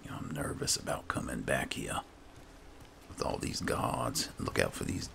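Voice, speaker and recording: A man's voice: a bass voice, expressive, moderate pace, gravelly, a bit bright, a little hiss or hum. A man giving casual in-character commentary while playing a game, murmuring unhurriedly to himself with game ambience behind him. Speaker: gaming roleplay streamer.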